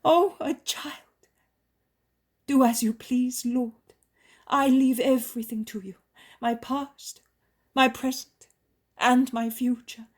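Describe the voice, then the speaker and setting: A woman voicing an overjoyed healed noblewoman who cries out her devotion in a high, loud voice. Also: fairly even intonation, takes it slowly, pauses often. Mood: ecstatic.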